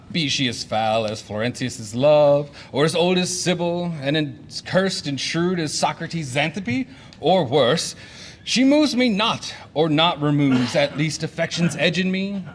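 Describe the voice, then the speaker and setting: A man acting a swaggering, boastful gentleman in a Shakespearean radio play. His highish voice is very animated and declamatory, over slight background noise. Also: measured pace. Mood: confident. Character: blustering, boastful gentleman fortune-hunter.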